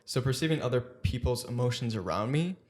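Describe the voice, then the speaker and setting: A man's voice: mid-range voice, expressive, a little fast, a little mellow. A young man hosting a podcast interview, talking hesitantly with many fillers. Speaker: podcast host.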